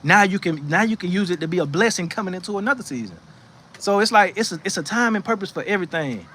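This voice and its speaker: A man's voice: high-pitched, animated, fast, fairly bright tone, breathy edge. A man hosting a faith livestream from his porch, giving casual spiritual encouragement and reading the chat, with a chatty, preachy manner full of restarts. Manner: preachy and conversational, frequent restarts and "you know what I'm saying". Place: porch outdoors, sitting in a rocking chair.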